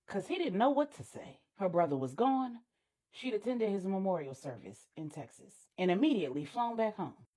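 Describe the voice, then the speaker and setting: A woman storyteller delivering dramatic scripted narration with deliberate, emphatic pauses. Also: medium pitch.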